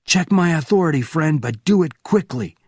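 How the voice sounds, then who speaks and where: A man doing an excitable young Soviet officer. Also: fairly low pitch, takes it slowly, slightly rough, dry recording, studio quality, full character voice. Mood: urgent, shouting. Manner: loud and urgent.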